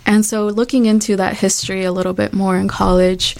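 Casting: young woman, Guatemalan-born, Chicago-raised, native Spanish speaker, mid-pitched voice, informal and passionate conversational delivery. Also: level tone, a little fast, a little mellow. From born in Guatemala, raised in Chicago, USA. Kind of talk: conversational panel discussion.

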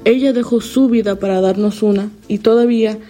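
A woman's voice: medium pitch, fairly even intonation, brisk, warm tone, soft background music. A teenage girl from Chicago, a student, earnestly reading her own personal statement. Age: teens. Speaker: student protester.

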